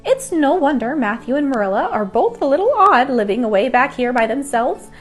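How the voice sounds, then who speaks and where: A woman reader performs a busybody country housewife in a storybook tale. Her voice sits high and lifts with emphasis. She is chatty, folksy and full of opinions, with music playing behind her. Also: very animated, a little fast. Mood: musing, disapproving. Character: nosy, opinionated middle-aged country housewife, puzzled and judging.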